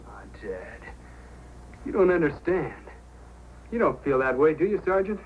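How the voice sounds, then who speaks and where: A man's voice: on the high side, sing-song, a little slow. An actor playing an eager, earnest, polite teenage boy in an old-time radio drama. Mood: pleading. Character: Dave, eager, pleading teenage boy who loves dogs. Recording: muffled, noisy old radio recording.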